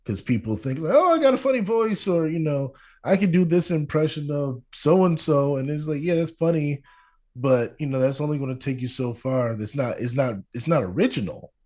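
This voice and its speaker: A man's voice: a little high, lively intonation, normal speed, darkish tone. A man hosting a podcast interview, asking loose, rambling questions. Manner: rambling, lots of filler and restarts. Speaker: podcast host. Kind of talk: casual interview chat.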